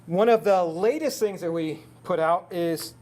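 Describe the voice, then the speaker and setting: Guy doing a product demo talk, voice a bit on the high side, explains stuff conversationally. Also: a little slow, darkish tone. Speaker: product presenter for an intercom manufacturer. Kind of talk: product seminar presentation.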